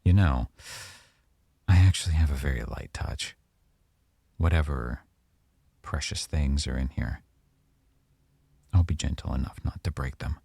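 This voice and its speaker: Male actor as young mafia boyfriend, very deep voice, dark soft tone, teasing, coy, quietly menacing, unhurried, intimate ASMR roleplay. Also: creaky, husky, no echo, full character voice. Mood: teasing, probing.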